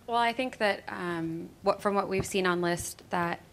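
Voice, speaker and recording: A woman speaking as a panelist in a relaxed, casual way. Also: medium pitch, unhurried. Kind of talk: panel discussion.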